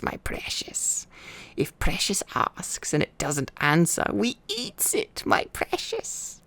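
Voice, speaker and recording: Hungry cave creature, raspy hissing whisper, wildly swooping pitch, wheedling, storybook villain. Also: male voice, a bit bright, no echo, exaggerated voice. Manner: broken grammar, drawn-out muttering to itself. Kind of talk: storybook character voice in ASMR reading.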